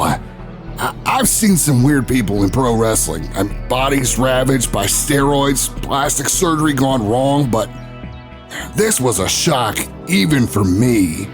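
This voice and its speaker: An actor playing a brash male talk show host, narrating his own investigation in an audio drama with a folksy, swaggering manner and dramatic pauses. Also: medium pitch. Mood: unsettled, dramatic. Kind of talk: scripted first-person narration in an audio drama.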